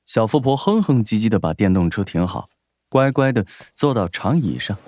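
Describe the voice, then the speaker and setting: A man's voice: lowish voice, lively intonation, moderate pace, a little mellow, dry recording. A man reading a novel as a dramatic scripted narration. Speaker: audiobook narrator.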